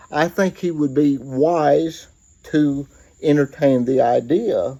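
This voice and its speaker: An American man giving political commentary in a measured, earnest way, stating his own opinions. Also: mid-range voice, warm tone, rough recording.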